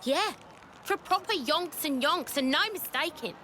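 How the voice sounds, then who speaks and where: A woman's voice: high-pitched, lively intonation, measured pace, sharp tone, slight background noise, heavily acted. A woman playing a brash, cheeky young female fighter in a video game, with British slang and a blunt, cocky manner. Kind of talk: video game cutscene acting.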